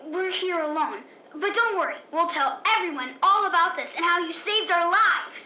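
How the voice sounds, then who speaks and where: A woman's voice: extremely high pitch, expressive, normal speed, pushed and bright, exaggerated voice. A woman voicing an eager, plucky young boy in a 1950s-style radio drama. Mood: earnest. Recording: dull, roomy, noisy vintage radio recording.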